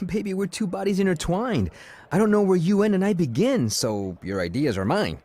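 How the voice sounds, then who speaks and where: An actor playing an effusive, amorous copywriter with a florid, theatrical manner in an audio drama. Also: male voice, high-pitched, expressive, normal speed, a little mellow. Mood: smooth, pleading. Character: gushing, amorous Brazilian male ad copywriter.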